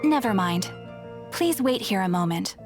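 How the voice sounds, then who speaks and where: A woman's voice: mid-range voice, expressive, a little slow. A woman playing a polite, helpful young guild receptionist with courteous, formal phrasing in a video game. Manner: courteous, formal service talk. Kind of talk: anime-style video game acting. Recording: music behind the voice.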